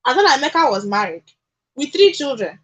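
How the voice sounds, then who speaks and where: A young woman with a Nigerian accent in an animated radio discussion, speaking emphatically with repetition and rhetorical questions. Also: sits high, a little fast, a little hiss or hum. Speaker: radio talk show speaker.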